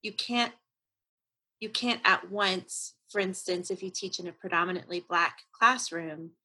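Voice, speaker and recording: Woman host, lower-pitched, bright forceful tone, engaged interviewer. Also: a little slow, slightly rough. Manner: engaged, thoughtful, summarizing. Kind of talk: conversational interview.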